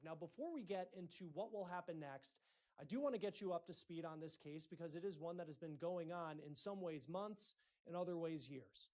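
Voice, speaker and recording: A man hosting a breaking news report, speaking in deliberate, emphatic phrases with dramatic pauses. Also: a little high, animated, a little mellow. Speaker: news host.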